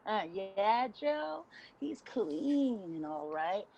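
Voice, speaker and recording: A woman's voice: medium pitch, sing-song, extremely slow, gravelly, darkish tone, full character voice, poor audio. A woman playing a defiant, needling homeless woman in a dramatic play reading, confrontational and sardonic.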